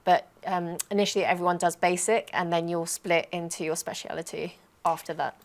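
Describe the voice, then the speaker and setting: A woman's voice: lowish voice, level tone, fairly quick. A woman giving conversational interview answers as a trainee guest. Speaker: trainee air traffic controller.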